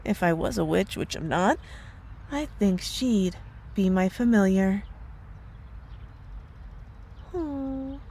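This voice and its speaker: A woman playing a possessive witch girlfriend in an ASMR roleplay, cooing and teasing with cutesy sweetness and quiet menace. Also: mid-range voice, animated, measured pace, slight background noise. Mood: cooing, sweet. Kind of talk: ASMR roleplay audio drama.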